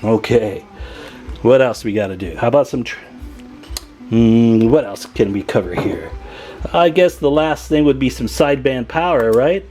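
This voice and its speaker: A man's voice: mid-range voice, expressive, normal speed. An American man with a mid-range voice talks through a hands-on repair demo. He is informal and folksy, speaking straight to his viewers as he works. Faint music or tones sit behind his voice. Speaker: radio repair hobbyist and video host. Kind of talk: casual hands-on demonstration vlog.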